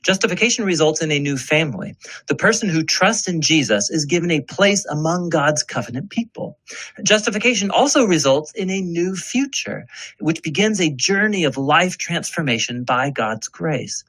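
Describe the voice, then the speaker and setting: Lively, higher-pitched man narrating. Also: normal speed, poor audio. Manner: explanatory, storytelling. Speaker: narrator of an explainer video. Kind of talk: scripted educational narration.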